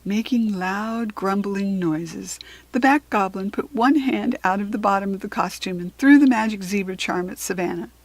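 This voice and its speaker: A woman with an American accent reading a children's book aloud in expressive narration, with a breathy voice. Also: medium pitch, moderate pace.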